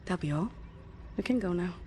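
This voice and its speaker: An actress playing a studious resistance researcher in a video game, with a careful, hesitant, precise way of talking. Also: female voice, lowish voice, animated, fairly quick, noisy background, natural voice. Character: studious, soft-spoken resistance researcher woman, methodical. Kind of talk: dramatic video game acting.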